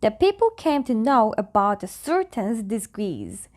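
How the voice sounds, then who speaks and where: A woman whose native language is Korean reads stories aloud. Her voice sits a little high and she takes her time over each phrase. Her English is simple and careful, with casual chat between passages. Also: very animated, measured pace, a little mellow. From South Korea. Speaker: vlogger reading stories aloud. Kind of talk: soft storybook reading and casual chat.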